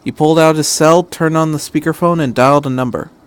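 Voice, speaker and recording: A man's voice: a little high, steady tone, quick pace, clean tone. A man reading fan fiction aloud as an amateur, with casual rambling asides, often stammering and repeating himself.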